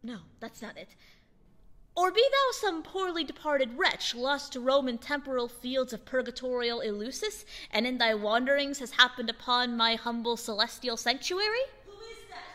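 A woman's voice: high voice, normal speed. A woman playing a pompous boy adventurer. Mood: grandiose. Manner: grandiloquent, archaic speech, theatrical swings from loud to hushed. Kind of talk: old-fashioned radio drama acting. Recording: steady background noise.

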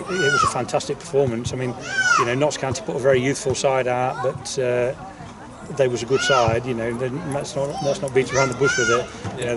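Middle-aged man, distant outdoor recording. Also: medium pitch. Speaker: football manager being interviewed. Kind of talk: informal post-match interview. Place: outdoors on a football pitch. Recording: distant outdoor mic, clear background noise.

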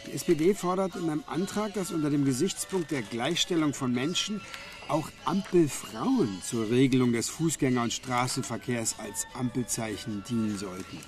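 Actor as a man reading news aloud, mid-pitched. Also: animated, moderate pace, a little mellow, faint music behind, lightly acted.

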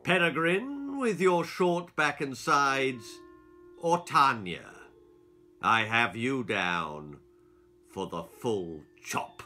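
A man voicing a slow, menacing barber. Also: medium pitch, expressive, pushed and bright, faint music behind, heavily acted. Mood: coaxing menace. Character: sinister, softly menacing male barber who punishes cheeky children.

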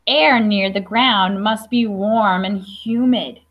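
A woman's voice: medium pitch, slow pace, dull audio. A young adult woman teacher reading a picture book aloud to young children, explaining simply with questions and asides. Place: classroom.

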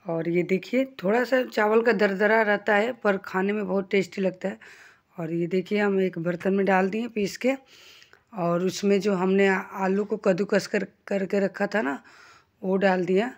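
A woman's voice: medium pitch, fairly even intonation, a little slow. A woman hosting a home cooking channel, giving chatty step-by-step instructions as she cooks in her kitchen. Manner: chatty and homely, explaining as she works.

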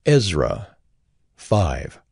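A man's voice: low voice, huge pitch swings, very slow, creaky, darkish tone, slightly rough, dry recording, studio quality. A man narrating a scripted, dramatized scripture reading. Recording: music bed behind the voice.

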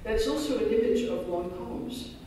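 Male keynote lecturer reading a scholarly paper, high-pitched, distant mic in a reverberant hall. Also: lively intonation, normal speed, warm tone, a little hiss or hum. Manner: dense scholarly phrasing, self-deprecating asides. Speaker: keynote speaker. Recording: echoey hall recorded from far off.

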